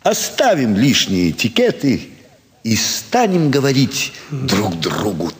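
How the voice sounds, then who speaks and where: An actor playing a shrewd, jovial gambler who flatters his fellow card cheats in an expressive, theatrical stage-comedy style. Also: mid-range voice, slow. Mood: smooth, flattering. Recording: reverberant hall stage recording with faint noise.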